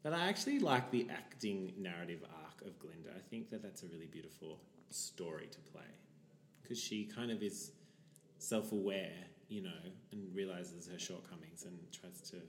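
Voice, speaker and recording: Aussie theatre guy chatting, lowish voice, bit of room echo, playful and quick to laugh. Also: expressive, slight background noise. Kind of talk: casual chat. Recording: roomy echo.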